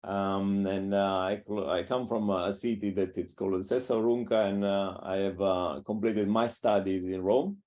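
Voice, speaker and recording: An Italian man with an Italian accent and non-native English, speaking haltingly with many filler sounds as a coach in an interview. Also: sits low, fairly even intonation, mellow. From Italy. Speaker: strength and conditioning coach. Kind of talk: casual interview talk.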